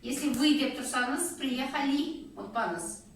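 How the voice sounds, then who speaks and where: A woman teaching a Russian lesson one-on-one, guiding patiently with slow, clear prompts. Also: high voice. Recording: echoey room, distant mic, faint noise.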